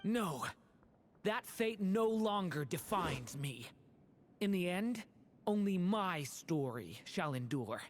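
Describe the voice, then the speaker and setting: A man's voice: high voice, sing-song, takes it slowly, heavy background noise, heavily acted. A man voicing a young dragon boy in a video game, earnest, sensitive and resolute. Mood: defiant resolve. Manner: earnest, soft-spoken, wistful.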